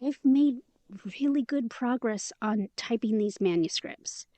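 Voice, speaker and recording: An actress playing a caring, candid young woman in an intimate audio drama, speaking in a casual, gently encouraging way. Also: a little high, measured pace, dry recording, lightly acted. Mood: gentle, caring.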